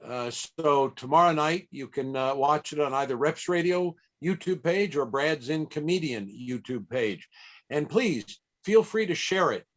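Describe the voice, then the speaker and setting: Man, middle-aged, American accent, casual live host, chatty, halting, video call. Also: on the high side, lively intonation, fairly bright tone. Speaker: event host and interviewer.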